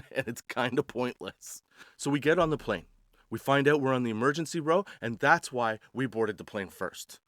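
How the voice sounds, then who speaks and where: A man's voice: mid-range voice, moderate pace, a bit bright, no room sound. Man in a dry, close recording. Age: 40s. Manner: slangy, crude, sarcastic, addressing listeners as dude. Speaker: podcast host telling travel stories. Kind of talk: casual comedic storytelling.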